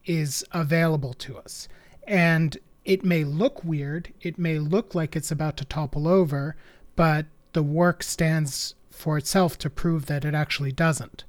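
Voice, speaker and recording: This interviewer builds a long, discursive question with academic references and dry humor. The voice sits at an ordinary middle pitch. The delivery is wandering but pointed.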